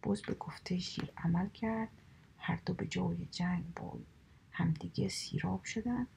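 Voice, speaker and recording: A woman reading animal fables aloud in a soft, steady whisper, storytelling for relaxation. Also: fairly low pitch, gravelly, slight background noise, poor audio.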